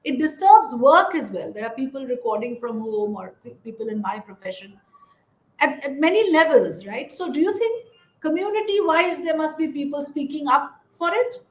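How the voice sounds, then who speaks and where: A woman's voice: high-pitched, animated, normal speed. A woman conducting a news interview in a conversational, earnest and emphatic manner. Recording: roomy, echoing voice.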